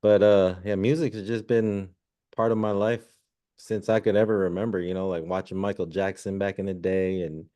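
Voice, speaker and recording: Middle-aged man, low smooth voice, laid-back, slangy, storytelling guest. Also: little pitch movement, normal speed, dark tone. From born in Zambia, raised in Washington state. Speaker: guest musician and restaurant owner. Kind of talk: casual storytelling.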